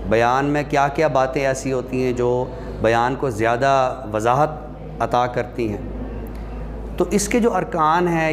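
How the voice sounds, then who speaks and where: A man giving an explanatory class lecture in a deliberate manner, pausing and posing questions that he then answers. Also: medium pitch, a little hiss or hum. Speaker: lecturer teaching Urdu rhetoric.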